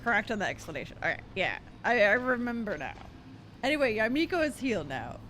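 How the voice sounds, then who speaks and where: A woman giving casual gameplay commentary as a streamer, thinking out loud and often second-guessing herself in a giggly way. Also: a little high, sing-song, fast talker, a little hiss or hum. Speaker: game streamer. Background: game music and character voice lines.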